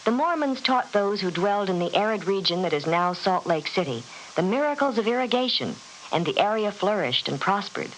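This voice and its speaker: A woman's voice: medium pitch, very animated, fairly quick, slight background noise, low-quality recording. A woman announcer reading a scripted informational spot in an old radio broadcast.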